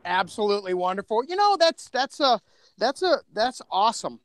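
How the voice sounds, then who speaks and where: A man's voice: high-pitched, very animated, normal speed, a bit bright, no echo, clean studio sound. Effusive man chatting on a podcast. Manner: effusive, rambling. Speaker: podcast co-creator. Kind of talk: casual panel chat. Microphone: video call.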